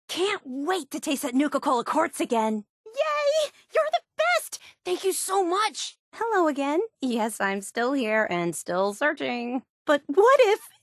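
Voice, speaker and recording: High-voiced, bubbly superfan. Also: female voice, very animated, a little slow, hardly any pauses, dry recording, clean studio sound. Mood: hopeful, grateful, then wistful, moved. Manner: gushing, earnest, wide-eyed enthusiasm. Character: bubbly, obsessive young woman superfan of a soda theme park. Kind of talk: video game character voice acting.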